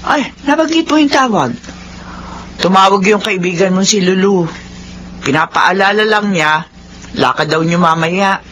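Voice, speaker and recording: A woman's voice: medium pitch, moderate pace, long pauses, a bit bright, slight background noise, lightly acted. An actress playing a caring, worried older mother with a cautioning manner in a Filipino radio drama. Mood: concerned.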